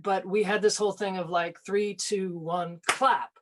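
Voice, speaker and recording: An actor reflecting casually in an interview, with halting, thoughtful phrasing. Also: medium pitch, fairly even intonation, noisy background.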